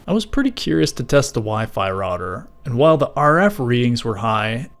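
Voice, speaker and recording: Man narrating in a measured, wry way. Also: mid-range voice, very animated. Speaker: video creator and narrator. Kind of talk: scripted explainer narration with dry asides.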